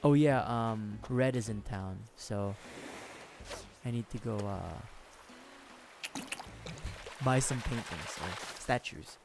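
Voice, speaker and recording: A hoarse man streaming a game, chatting casually in a rambling, joking way with frequent laughs. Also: lowish voice, takes it slowly, long pauses. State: hoarse, sore throat. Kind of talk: casual gameplay commentary. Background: game music.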